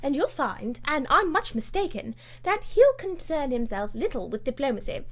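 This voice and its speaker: Bluff high-society English lady played by a female reader: high, swooping, forceful, coarse-mannered, storybook. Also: very animated, moderate pace, heavily acted. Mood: vehement. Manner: loud, blunt, exclamatory.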